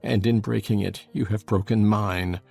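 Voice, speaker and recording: A man playing a tormented, bitter lover in a dramatized audiobook, with a gravelly, husky voice and an anguished, intense delivery. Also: on the low side, steady tone, moderate pace, darkish tone, faint music behind. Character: tormented, brooding lover, bitter and fiercely passionate.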